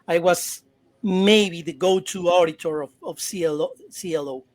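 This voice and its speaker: A man in his forties with a Mexican Spanish accent, a native Spanish speaker, talking as a guest on a video call. His voice sits a touch high for a man. He stumbles, restarts and repeats words, and laughs easily between phrases. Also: normal speed. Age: 40s. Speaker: interview guest. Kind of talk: casual interview.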